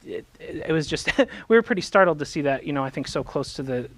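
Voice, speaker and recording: A man's voice: a little high, lively intonation, fast talker, fairly bright tone, no echo. A man, a resident minister, giving a casual slide presentation to his congregation, with many fillers and restarts. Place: church meeting room. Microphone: handheld microphone.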